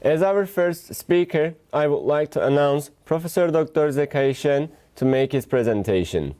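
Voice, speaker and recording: A man opens a conference session with a formal welcome, reading the list of speakers. His voice is smooth and mellow. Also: a little high, expressive, normal speed. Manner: formal, reads out names. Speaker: session chair. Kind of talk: formal announcement.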